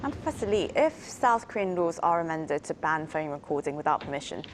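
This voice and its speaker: Woman news host w a lowish voice, asking guests questions on air. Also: very animated, a little fast, a little hiss or hum. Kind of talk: broadcast news interview with scripted questions.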